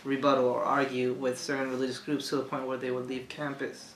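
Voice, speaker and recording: A young man from Chile, speaking as an interview guest in a soft, hesitant way, with many fillers, in a room lined with bookshelves. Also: mid-range voice, monotone, a little fast. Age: young adult. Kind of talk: conversational testimony. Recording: echoey room, faint hiss.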